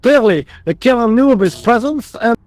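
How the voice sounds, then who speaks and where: A very high-pitched French policeman for a video game, recorded close and dry. He is an earnest, literal-minded sidekick with a French accent, always deferential to his superior. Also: expressive, moderate pace, darkish tone, no room sound. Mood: eager, flustered. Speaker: police sergeant, assistant.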